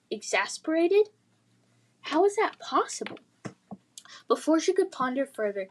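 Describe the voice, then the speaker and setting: High-voiced woman narrating a fantasy story. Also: low-quality recording. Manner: expressive, suspenseful delivery. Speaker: read-aloud narrator. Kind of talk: dramatic children's fantasy narration.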